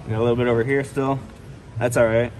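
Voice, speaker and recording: A man's voice: mid-range voice, little pitch movement, fast talker, a little mellow, a little hiss or hum, rough recording. A young man giving a casual hands-on product demonstration, explaining plainly step by step. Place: large carpeted indoor venue. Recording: busy public room with background chatter. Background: crowd murmur.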